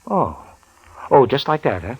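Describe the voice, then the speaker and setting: Wry, animated radio tough guy. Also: medium pitch, unhurried, slightly rough, soft background music, full character voice, poor audio. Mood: skeptical. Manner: wry, probing, slangy. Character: cynical, teasing tough-guy investigator in a radio mystery. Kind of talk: 1940s radio acting. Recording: vintage radio drama broadcast.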